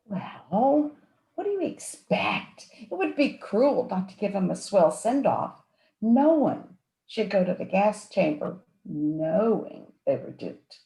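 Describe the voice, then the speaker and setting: A woman's voice: medium pitch, sing-song, slow pace, mellow. A woman playing a sugary, two-faced femme fatale in a noir radio play, cooing and melodramatic. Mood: coy, scheming. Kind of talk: 1940s noir radio acting, live community theatre. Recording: roomy, echoing live stage recording.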